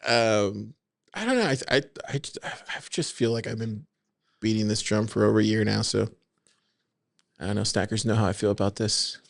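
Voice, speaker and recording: A man co-hosting a casual podcast, reading forum posts aloud in a steady way and asking his co-host for his thoughts. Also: lowish voice, moderate pace, gravelly, no room sound, studio quality. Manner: reads quotes in an even, steady way, then asks for opinions.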